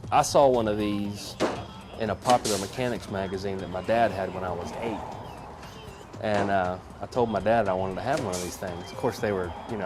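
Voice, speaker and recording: Halting man chatting in his garage. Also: on the low side. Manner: halting, many restarts and fillers. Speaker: interviewee, engineer building a kit plane. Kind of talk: casual interview talk. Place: home garage.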